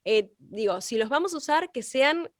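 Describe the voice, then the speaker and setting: A woman's voice: high-pitched, lively intonation, moderate pace, no echo, clean studio sound. A woman with an Argentine accent giving a conversational class, explaining and checking in with short questions. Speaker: radio and podcast workshop instructor.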